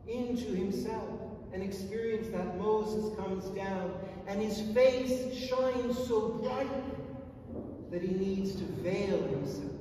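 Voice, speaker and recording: A man in his forties, an Orthodox priest, preaching a sermon in a large stone church, measured and emphatic with long pauses. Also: high voice, slight background noise. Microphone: far camera. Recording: echoey church from far off.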